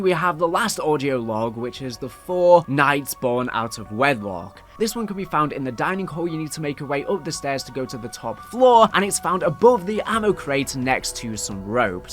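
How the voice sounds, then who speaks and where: A man's voice: a little high, fairly bright tone. A man narrating a scripted video game guide in a methodical, step-by-step instructional way. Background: music under the voice-over.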